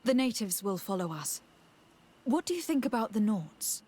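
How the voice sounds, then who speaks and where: An actress playing a resolute young noblewoman diplomat in a video game, speaking in a measured, earnest and formal way. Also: medium pitch, sing-song, a little mellow, slightly rough. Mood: determined. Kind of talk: video game cutscene acting.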